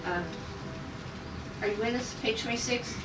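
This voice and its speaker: A woman narrating stage directions at a script table read, in an informal, halting way. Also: on the high side, loud music behind the voice. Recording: echoey room, distant mic, noisy.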